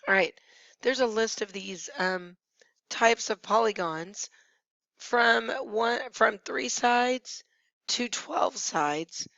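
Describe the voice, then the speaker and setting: Hoarse woman teaching math, gravelly. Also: fairly high pitch, slow pace, fairly bright tone, dry recording. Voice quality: hoarse, gravelly, sometimes dropping to a whisper. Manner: patient, talks through each step while writing. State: losing her voice. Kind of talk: screencast math lesson. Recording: dry, dull lo-fi screencast audio.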